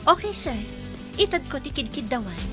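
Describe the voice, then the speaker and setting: An actress playing a spirited, defiant young housekeeper in a Filipino radio drama, with a Filipino accent. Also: female voice, high voice, big pitch swings, normal speed, music in the background. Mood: polite. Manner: feisty, talks back.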